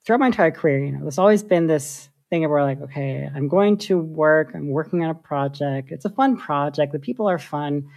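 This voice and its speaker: A woman, a software engineer, talking through her ideas in a casual interview with lots of filler likes. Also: low voice, a little fast, creaky, hardly any pauses. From United States. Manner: thoughtful, many filler likes.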